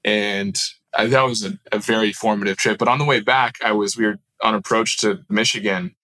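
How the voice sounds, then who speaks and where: A man tells personal stories as an interview guest, informal and earnest. His pitch is a little low and stays quite even. The recording is dry and free of room sound. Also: fairly quick, no echo. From born in Southern California, grew up in Turkey. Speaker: podcast guest, aerospace founder. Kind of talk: casual personal storytelling in an interview.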